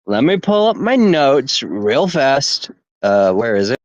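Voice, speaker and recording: A man's voice: mid-range voice, big pitch swings, takes it slowly, no echo, highs cut off. Rambling young man hosting a podcast. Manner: rambling, many fillers and laughs. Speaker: podcast host. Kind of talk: casual podcast chat.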